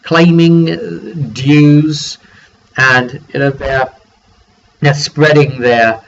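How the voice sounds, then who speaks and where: A man's voice: on the high side, steady tone, extremely slow, a bit bright. A man giving a casual, rambling, conversational game master's recap of a tabletop role-playing session in a one-take vlog. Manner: rambling, conversational, acts out brief dialogue. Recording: dull lo-fi sound, clear background noise.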